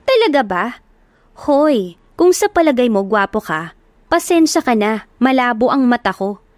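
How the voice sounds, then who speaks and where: A woman voicing a feisty, hot-tempered young woman who scolds and shouts in a sharp, quick voice. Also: a little high, big pitch swings, dry recording. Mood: angry, mocking.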